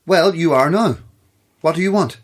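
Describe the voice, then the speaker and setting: A man's voice: on the high side, normal speed, lightly acted. An actor playing a brusque, sceptical male radio host in an audio horror drama. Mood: curtly impatient. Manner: brusque, exasperated, sardonic.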